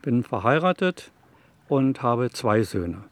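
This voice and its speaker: A retired man in his seventies answers interview questions, telling stories in a calm, plain, rambling way. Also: mid-range voice, slow pace, no echo. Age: 70s. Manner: calm, plain, rambling storyteller with fillers like "also" and "eben". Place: outdoors in a city allotment garden.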